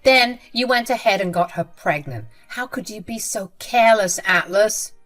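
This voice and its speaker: A woman voicing a scornful, spiteful middle-aged mother-in-law who talks loud and shrill. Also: normal speed, soft background music, close to an everyday voice. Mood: furious.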